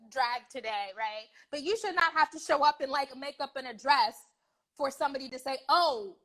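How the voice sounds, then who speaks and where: High, bright, emphatic American woman. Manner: emphatic, frank, swears freely, acts out imagined quotes. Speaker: host of a live discussion show. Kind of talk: casual opinionated talk with callers.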